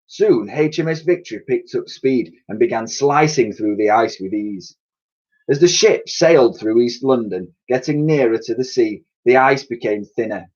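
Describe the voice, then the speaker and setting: A man's voice: mid-range voice, expressive, normal speed, a little mellow. A man reading a children's story aloud with expression, on a dull home recording. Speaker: story reader.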